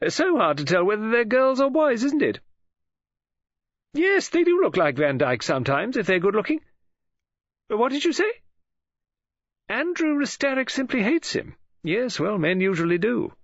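Man playing a gossipy middle-aged English lady, flustered, exclamatory, wildly swooping pitch, husky, muffled audiobook recording. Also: big pitch swings, normal speed, long pauses, no room sound. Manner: gushing, exclamatory, breezy.

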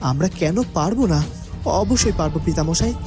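Man doing earnest young cartoon prince, a bit high, pleading, music behind. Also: animated, brisk, close to an everyday voice.

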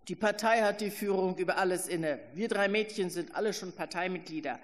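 Ein Mann, der bei einer Lesung einer lebhaften, zierlichen jungen Studentin mit kesser, bestimmender Art die Stimme leiht, in einem Saal mit langem Nachhall. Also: male voice, high-pitched, fairly quick, lightly acted. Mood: cheeky. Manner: bossy, self-consciously witty. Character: skinny, lively young female student, cheeky. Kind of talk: literary reading. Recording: reverberant hall.

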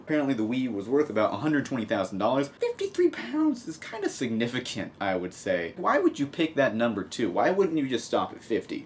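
Animated young American man, sarcastic commentary. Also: medium pitch. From Texas, United States. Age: young adult. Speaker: host of a comedic news-reading video. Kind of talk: casual commentary on read-out news. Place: small room at a home desk, indoors.

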